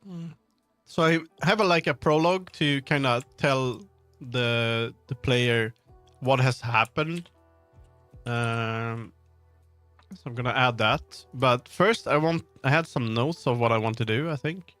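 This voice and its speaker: A man's voice: mid-range voice, a little slow, fairly bright tone. A man chatting casually on a livestream while he works, rambling, thinking aloud and often trailing off mid-sentence. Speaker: livestreamer, game developer. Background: background music.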